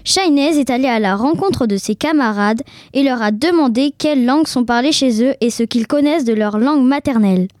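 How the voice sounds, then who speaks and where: A woman presenting a report, reading a scripted introduction clearly. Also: high voice, fairly quick, few pauses, a little mellow, no room sound, studio quality.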